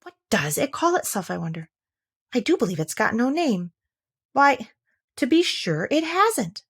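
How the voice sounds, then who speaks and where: A woman's voice: a little high, very animated, measured pace, slightly rough, voice pushed up, full character voice. A woman voicing a timid, thoughtful little girl from a children's storybook, hesitant and musing aloud to herself. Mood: puzzled. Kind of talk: children's storybook character reading.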